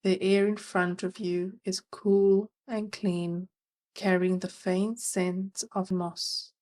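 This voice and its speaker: Slow-paced woman guiding a meditation. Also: mid-range voice, level tone. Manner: gentle, soothing, unhurried with long pauses. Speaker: meditation guide. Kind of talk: guided meditation narration.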